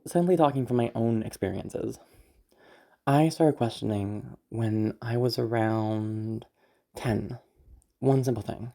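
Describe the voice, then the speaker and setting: A woman's voice: a bass voice, dark tone. A woman talking casually to her listeners as an audio creator, explaining things in a rambling, conversational way. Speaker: audio roleplay creator and performer.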